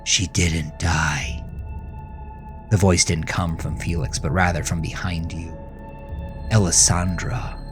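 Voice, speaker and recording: A man narrating a horror story with a grave, deliberate, suspenseful delivery. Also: deep voice. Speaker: author and narrator of horror podcast. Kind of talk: dramatic second-person horror story narration.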